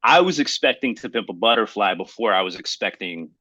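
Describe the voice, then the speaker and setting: A man's voice: on the low side, expressive, a little fast, pushed and bright, no room sound. A man in his thirties chatting casually as a podcast co-host, telling rambling, emphatic stories in slangy phrasing. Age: 30s. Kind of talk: casual podcast chat about hip hop.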